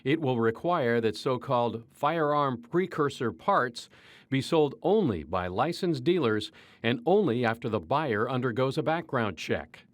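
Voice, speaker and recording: A man's voice: mid-range voice, lively intonation, a little slow, no echo. American male news reporter, scripted narration.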